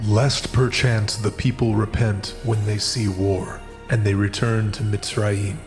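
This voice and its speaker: A low, commanding male voice of God , acted by a man for a dramatized Bible reading. He declares each phrase slowly and solemnly, as a deity giving orders. Soft music plays beneath him. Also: flat delivery.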